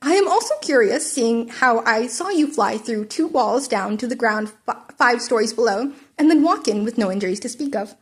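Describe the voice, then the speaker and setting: A woman's voice: fairly high pitch, lively intonation, moderate pace, few pauses, natural voice. A woman voicing an earnest, inquisitive teenage boy student who speaks formally, in an amateur audiobook reading.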